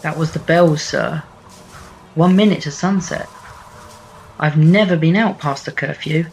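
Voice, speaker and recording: An actor playing a young junior aide, polite, earnest and a little naive, in an audio drama. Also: male voice, a little high, unhurried, vocal fry, slight background noise. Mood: earnest, nervous. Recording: music behind the voice.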